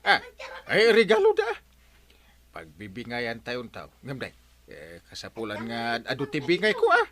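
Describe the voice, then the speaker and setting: A man with an Ilocano Filipino accent playing a greedy, scheming, excitable village captain in a broad radio comedy. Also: medium pitch, a little slow. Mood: gleeful, greedy.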